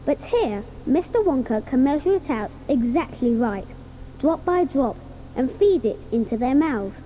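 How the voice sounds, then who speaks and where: A woman's voice: high-pitched, animated, unhurried, mellow, slight background noise, poor audio. An actress voicing an earnest, polite young boy in a 1980s audio drama, explaining things patiently. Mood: reassuring. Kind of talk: 1980s radio drama acting.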